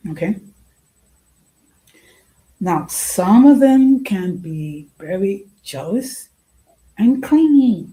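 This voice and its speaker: A woman speaks as the host of an informal talk, in a slightly low, relaxed voice. She moves very slowly and deliberately, halting often and repeating phrases to press her point. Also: low-quality recording. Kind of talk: informal opinion talk to an audience.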